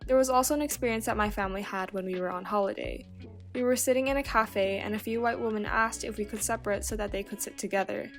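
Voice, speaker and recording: A woman reading out submitted personal stories in a steady, earnest way. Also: medium pitch, fast talker, soft background music. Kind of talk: scripted reading.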